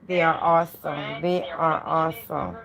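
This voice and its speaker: A woman's voice: deep voice, fairly even intonation, unhurried, gravelly, a bit bright. A woman co-hosting a read-aloud show, welcoming and chatting to viewers in a repetitive way. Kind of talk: welcoming live-stream presenting.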